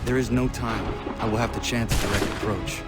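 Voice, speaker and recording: Actor as low-voiced, stoic young assassin. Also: male voice, steady tone, loud music behind the voice, lightly acted. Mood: dry, resolute. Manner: formal, measured, terse diction. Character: young earnest, stoic male assassin, blunt and idealistic. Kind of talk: video game cutscene acting.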